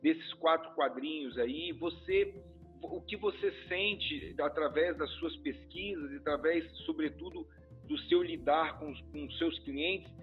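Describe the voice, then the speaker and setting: A man's voice: a little high, measured pace. A man with a Brazilian Portuguese accent hosting a podcast, asking questions in a hesitant, thinking-aloud way. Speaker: podcast host. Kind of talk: podcast interview. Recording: dull lo-fi audio with faint music.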